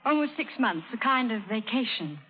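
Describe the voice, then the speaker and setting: A woman's voice: fairly high pitch, lively intonation, moderate pace, close to an everyday voice. Actress as a genteel young lady, tinny recording. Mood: pleasant. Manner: genteel, lightly teasing. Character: poised, playful young English gentlewoman. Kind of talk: 1930s radio drama acting. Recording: tinny old radio recording, faint noise.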